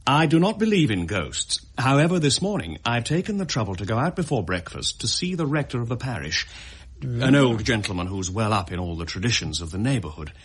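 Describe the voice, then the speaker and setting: An actor playing a confident, sceptical gentleman host in a period audio drama, speaking eloquently and formally with a hearty, husky voice. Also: mid-range voice, big pitch swings, fairly quick. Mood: resolute, jovial.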